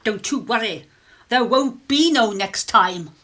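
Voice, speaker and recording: An actress playing a nosy, blunt, gossipy British working-class neighbour in a radio drama, speaking in colloquial phrases. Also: female voice, on the high side, animated, measured pace, background noise. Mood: affronted.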